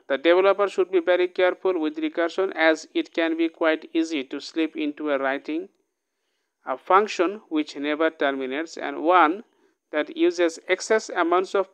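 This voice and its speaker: A man's voice: fairly high pitch, normal speed, a bit bright, clean tone. A man teaching a programming lesson, reading code aloud line by line and often checking in with "okay?". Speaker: programming tutor. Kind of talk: casual screen-recorded coding lesson.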